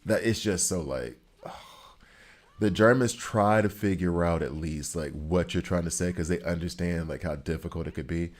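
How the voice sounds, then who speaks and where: A man with a deep, low American voice talks loosely through a gaming session. He rambles and cracks jokes as he picks up questions from his chat, in an easy, unhurried way of a streamer in his thirties. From United States. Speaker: streamer chatting with viewers. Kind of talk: casual gameplay chatter.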